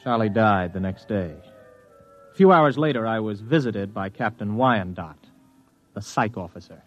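Spaceman lead: low voice, weary and stubborn, old radio serial with dull sound and music behind. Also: animated, moderate pace. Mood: brooding. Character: weary, stubborn spaceman hero narrating his story. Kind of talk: 1950s radio acting with first-person narration.

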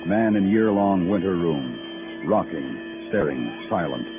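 An actor playing a brooding police detective, narrating a 1950s radio noir drama in solemn, clipped poetic phrases. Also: male voice, low voice, expressive, slow, heavily acted. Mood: somber, brooding. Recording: muffled vintage radio recording with music.

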